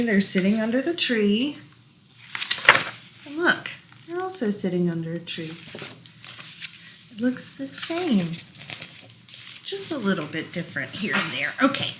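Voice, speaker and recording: Unhurried woman reading picture books aloud. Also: medium pitch, slight background noise. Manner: gentle, unhurried, explaining pictures to little listeners. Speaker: children's librarian reading storytime. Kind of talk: read-aloud picture books for small children.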